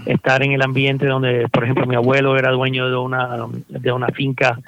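A man's voice: medium pitch, monotone, fairly quick, fairly bright tone, slight background noise. Puerto Rican man on a dull line. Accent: Puerto Rican Spanish. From Puerto Rico. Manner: thoughtful, many fillers and repeated words. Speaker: startup founder guest. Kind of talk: interview answers.

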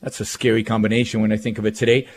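A man's voice: on the low side, level tone, very fast, darkish tone, slight background noise. Israeli-accented man hosting talk radio. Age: middle-aged. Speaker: guest radio host.

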